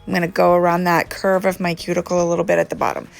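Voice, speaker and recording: A woman talks through a nail tutorial in a relaxed, chatty way, her fairly low voice a bit gravelly and congested from allergies. Soft music plays faintly underneath. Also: very fast. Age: adult. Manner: chatty, relaxed, frequent ums. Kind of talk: casual how-to chat.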